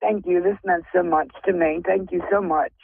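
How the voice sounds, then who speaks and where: Grateful, emotional woman caller with a gravelly voice, heard over a dry phone line. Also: on the low side, steady tone, moderate pace, dry recording. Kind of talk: phone call-in.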